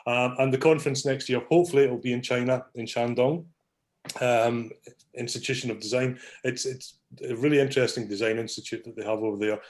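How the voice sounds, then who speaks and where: A man hosts an online meetup over a video call, at an ordinary pitch. His talk is loose and relaxed, full of ums and easy apologies. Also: fairly quick. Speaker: meetup host. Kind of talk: casual online meeting talk.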